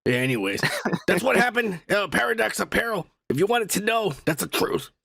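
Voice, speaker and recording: Raspy, high-voiced Mexican-American man. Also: sing-song, fairly quick. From United States. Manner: loud, crude, joking. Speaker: podcast co-host. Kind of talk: casual comedic banter.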